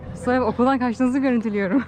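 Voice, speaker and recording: A woman's voice: a little high, steady tone, very fast, noisy background. A woman vlogger chatting casually and playfully to the camera and her companion, with a giggly manner. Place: mostly outdoors at a village campsite.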